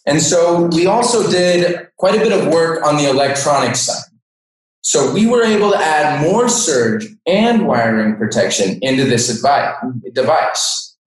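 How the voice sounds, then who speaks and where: A man's voice: fairly high pitch, lively intonation, a little slow. A man in his twenties with an American accent, a sales engineer explaining things as an interview guest. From Denver, Colorado, United States. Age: 20s. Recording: echoey room, distant microphone.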